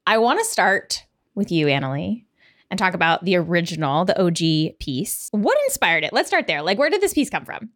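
A woman hosts a podcast interview in an easy conversational register. Her voice sits at a middle pitch, and her phrasing is informal, peppered with "like" and "you know". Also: very animated, normal speed, fairly bright tone. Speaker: podcast host.

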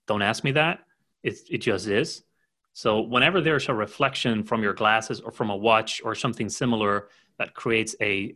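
A man's voice: on the low side, a bit bright. A man co-hosting a tech podcast, chatting casually and explaining things in an easygoing way with light humor. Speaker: podcast co-host. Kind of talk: casual technical chat.

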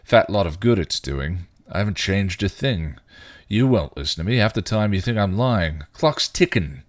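A man voicing a bitter, guilt-ridden male vampire, rough and full of crude slang. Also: sits low, expressive, normal speed, no echo, clean studio sound. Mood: angry. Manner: snarling, rough, clipped slang. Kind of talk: fan fiction reading.

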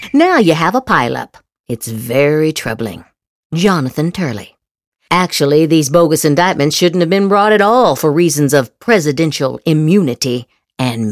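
A woman's voice: low-pitched, no echo. Theatrical woman with wildly swooping delivery. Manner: exaggerated, sarcastic inflection with drawn-out emphasis. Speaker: podcast host reading social media posts aloud. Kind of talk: theatrical dramatic reading of political posts.

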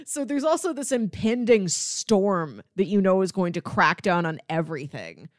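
A woman's voice: medium pitch, animated, normal speed, no echo, clean studio sound. A woman co-hosting a podcast, casually retelling a film's story in a conversational way. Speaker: podcast co-host.

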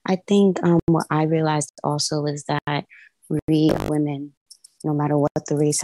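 A young woman in her twenties calls into a show and talks at length, full of ums and restarts. Her voice is low and mellow for a woman. It sounds dry and close, with no room around it. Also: no echo, rough recording. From United States. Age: 20s. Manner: hesitant, many fillers, wordy. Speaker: caller. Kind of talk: casual conversation.

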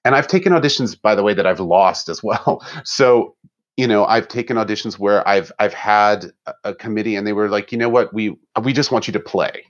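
A man's voice: lowish voice, fairly quick, highs cut off. A middle-aged man from Germany, an orchestra musician, chatting casually in an interview, laughing often and restarting his sentences.

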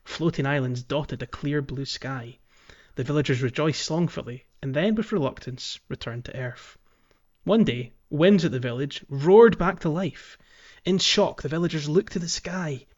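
A man's voice: fairly high pitch, moderate pace, darkish tone, highs cut off. A man hosting a podcast, talking casually through a video game and sometimes reading aloud. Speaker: podcast host.

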